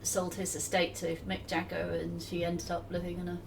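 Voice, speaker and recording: A woman's voice: fairly low pitch, completely flat delivery, moderate pace, creaky. A woman interviewing conversationally as a podcast host, hesitant and appreciative in her phrasing. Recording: distant mic with faint background noise.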